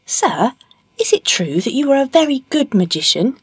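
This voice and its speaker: A woman's voice: high-pitched, very animated, normal speed, slightly rough, no echo, voice pushed up, full character voice. A woman voicing a clever male storybook cat, eager and persuasive, with courtly, emphatic speech. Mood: flattering, sly.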